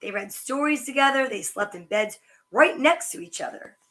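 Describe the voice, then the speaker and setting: A woman hosting a children's story time, reading picture books aloud with chatty asides in a sing-song, animated way. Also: high-pitched, normal speed, a little hiss or hum.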